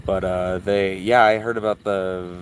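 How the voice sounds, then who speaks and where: A man's voice: low voice, level tone, unhurried, slight background noise. A man chatting casually and hesitantly as he hosts, rambling through commentary on recordings as a radio hobbyist. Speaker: host, shortwave radio hobbyist.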